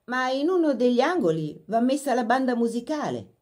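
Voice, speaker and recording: A woman's voice: fairly high pitch, very animated, normal speed, darkish tone, pitched above the performer's own voice. A woman voicing an eager young upper-class girl who chatters breathlessly in a storybook reading. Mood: polite.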